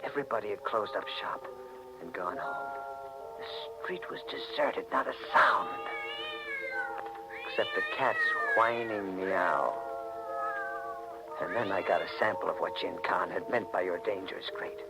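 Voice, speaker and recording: A man's voice: sits low, huge pitch swings, measured pace, gravelly, long pauses, loud music behind the voice, heavily acted. An actor playing a wary, hard-boiled American man in a 1940s radio mystery, terse and dramatic, with an American accent. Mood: wary, tough. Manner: terse, dramatic, tough-guy delivery. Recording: noisy old 1940s radio recording.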